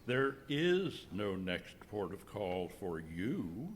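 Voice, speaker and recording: In an echoey, slightly noisy hall, a man plays a sinister sea captain. He speaks slowly and low, with calm menace, in an amateur radio skit. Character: sinister, calmly menacing cruise ship captain. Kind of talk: amateur live comedy horror radio skit. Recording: echoey hall, background noise.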